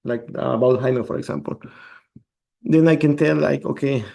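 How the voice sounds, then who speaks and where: Brief: male developer, gravelly and warm, explains code step by step. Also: mid-range voice, normal speed. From El Salvador. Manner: explains step by step, restarts words. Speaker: developer presenting a demo. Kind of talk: screen-share tech demo.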